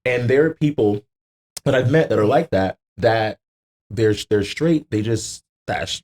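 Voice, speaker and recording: A man chatting casually on a podcast, joking and exclaiming in a raspy voice with plenty of swearing. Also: on the low side, measured pace. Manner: animated, exclaiming, joking, profane.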